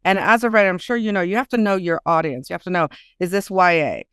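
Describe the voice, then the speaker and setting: A woman's voice: on the low side, fast talker, fairly bright tone, smooth, no room sound, studio quality. A woman speaking as a guest author in an interview, explaining things in an informal, conversational way.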